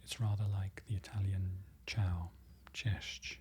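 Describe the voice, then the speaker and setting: A presenter whispering an ASMR-style lesson, slowly repeating foreign words and correcting themselves. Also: deep voice, fairly even intonation, a little hiss or hum. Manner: whispering throughout, soft and close. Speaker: presenter learning Polish from a book.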